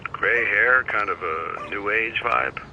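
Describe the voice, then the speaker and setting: An actor playing a casual, low-key FBI agent checking in over a call link in an audio drama. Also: male voice, slow pace, highs cut off. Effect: radio or phone link. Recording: noisy remote call link.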